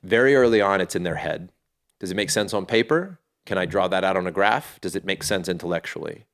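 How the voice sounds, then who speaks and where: A man's voice: low-pitched, brisk. A middle-aged man speaking as a podcast guest, earnest and reflective, often restarting his sentences as he thinks aloud. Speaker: podcast guest, fatherhood community founder. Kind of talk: casual interview talk.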